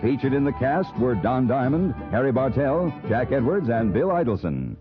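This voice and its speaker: A man's voice: medium pitch, sing-song, moderate pace, lo-fi sound. A man reading scripted credits as a 1950s radio announcer, on an old recording. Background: music.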